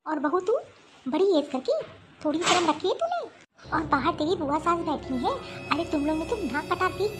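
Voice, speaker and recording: Loud and exasperated, this is a woman acting as a middle-aged mother-in-law who rules the house and scolds her daughters-in-law. Her voice sits very high for a woman. It comes from a homemade family skit.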